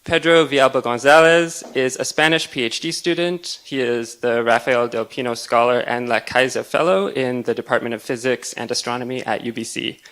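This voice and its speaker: Man, co-chair, event introduction, polite, teasing, medium pitch. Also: moderate pace, few pauses, fairly bright tone. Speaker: series co-chair introducing speaker. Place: lecture room.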